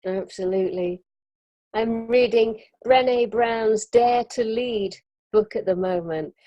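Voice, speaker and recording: A European woman in an earnest, expressive conversation about spirituality, often laughing as she talks, on a video call. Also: fairly high pitch, slow, dry recording, muffled recording. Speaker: summit guest.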